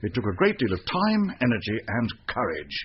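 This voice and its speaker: An actor playing a pompous, formal British secret service officer in a radio drama. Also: male voice, on the low side, huge pitch swings, a little fast. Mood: grave, pompous. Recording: muffled radio recording with hiss.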